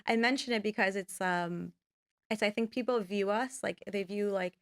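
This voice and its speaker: A woman's voice: mid-range voice, moderate pace, no room sound, studio quality. A young woman, a filmmaker guest, talking casually in a podcast interview and rambling with many fillers. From United States. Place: podcast studio.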